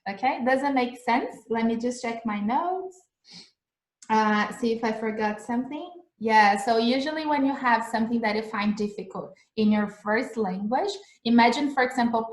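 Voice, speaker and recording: A woman's voice: a little high, reverberant, poor audio. A Brazilian woman whose first language is Portuguese, teaching English in a casual livestream lesson, often checking in with "right?" and "like". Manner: chatty, frequent "right?" and "like" checks. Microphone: phone livestream.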